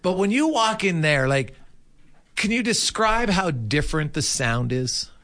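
A man's voice: sits high, very animated, unhurried, fairly bright tone. A man hosting a casual sports interview, asking wordy, engaged questions. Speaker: interviewer, radio host.

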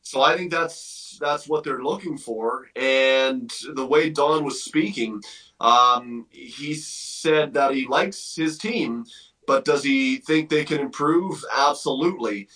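A man's voice: mid-range voice, slow pace, sharp tone, recorded from a distance, poor audio. A man giving emphatic sports commentary as a guest analyst, thinking aloud and repeating phrases as he builds his point.

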